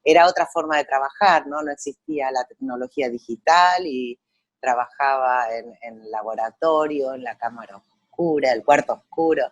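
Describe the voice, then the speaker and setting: An Argentine woman in middle age speaks as a guest in an interview. She tells her life story in a relaxed, reflective way, with frequent pauses and hesitations while she gathers her memories. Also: a bass voice, dull audio. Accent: Argentine Spanish. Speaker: guest photographer. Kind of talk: conversational interview over a call.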